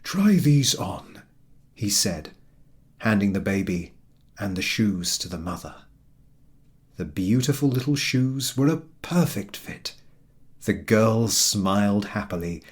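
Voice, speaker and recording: A man reads a storybook aloud in a low, husky voice. He takes his time and lets the pitch rise and fall widely, giving the narration a dramatic, expressive shape. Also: measured pace.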